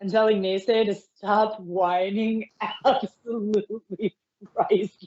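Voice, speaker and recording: A woman's voice: medium pitch, steady tone, unhurried, a little mellow, lo-fi sound, lightly acted. A woman voicing a smug, teasing male chaos spirit in an amateur fan fiction reading, with a mocking manner. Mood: smug, amused.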